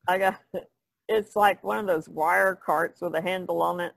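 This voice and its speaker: Lady on a podcast just chatting, gravelly creaky voice, folksy, dry close sound. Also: lowish voice, steady tone, a little slow, no room sound. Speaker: artist, podcast panelist. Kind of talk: casual chat.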